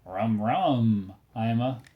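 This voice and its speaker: A man reading a picture book aloud with a small child, encouraging and pausing so the child can finish the phrases. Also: mid-range voice, phone-quality sound.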